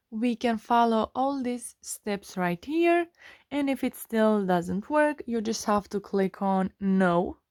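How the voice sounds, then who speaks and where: Woman doing a phone tutorial, highish voice, slow step by step, dry sound. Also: darkish tone, no echo. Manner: instructional, step by step. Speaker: tutorial host. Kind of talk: scripted how-to narration for a phone screen tutorial.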